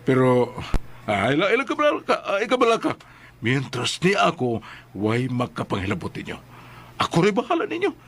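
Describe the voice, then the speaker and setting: A man playing an overbearing, menacing neighbour in a radio drama, with a Visayan Filipino accent and a halting, insinuating way of talking. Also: mid-range voice, huge pitch swings, a bit bright, full character voice. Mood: menacing, probing. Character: overbearing, menacing male neighbour who leans on people.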